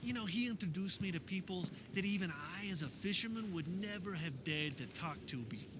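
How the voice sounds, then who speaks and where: A man's voice: sits high, expressive, background noise. A man playing an earnest fisherman disciple in a religious radio drama, recounting memories in a conversational, wistful monologue. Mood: fond, wistful. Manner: conversational, wistful storytelling with wondering pauses.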